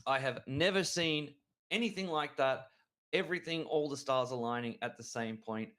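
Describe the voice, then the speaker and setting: A man co-hosting a trading show, his voice sitting at an ordinary middle pitch. He talks with eager excitement, rambling through his analysis and often restarting mid-sentence. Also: normal speed. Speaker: crypto trading show co-host. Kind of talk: enthusiastic market analysis chat.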